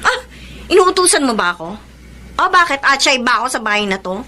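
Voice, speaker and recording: A woman's voice: high-pitched, big pitch swings, fairly quick, sharp tone, slight background noise, full character voice. An actress playing a bitter, jealous, defiant woman in a Filipino radio soap, with a Filipino accent and a sarcastic, combative, theatrical delivery. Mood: irritated, scornful.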